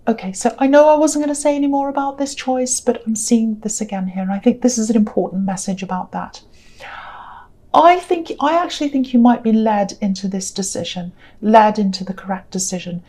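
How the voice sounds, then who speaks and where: A tired woman with a croaky voice giving a gentle, reassuring tarot reading in a casual, rambling way. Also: on the high side. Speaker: tarot reader.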